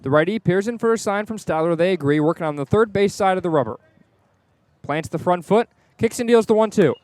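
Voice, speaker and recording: Guy doing baseball play by play on radio, voice a bit on the high side, calling it live at the ballpark. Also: lively intonation, brisk, darkish tone, dry recording. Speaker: play-by-play sports announcer. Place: outdoors at a baseball stadium.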